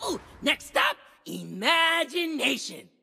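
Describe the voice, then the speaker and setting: A man voicing an excitable, childlike little dragon in a video game, playful and bubbly, with a squeaky cartoon voice. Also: slow pace, vocal fry, exaggerated voice. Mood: eager, playful. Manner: bubbly, exclamatory, wide-eyed. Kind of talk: animated video game character lines. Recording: music behind the voice.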